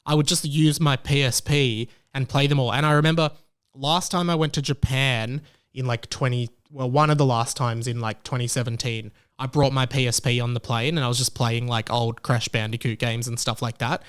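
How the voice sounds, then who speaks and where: A man, hosting a solo podcast in an excitable, rambling way. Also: medium pitch, moderate pace, smooth. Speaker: podcast host.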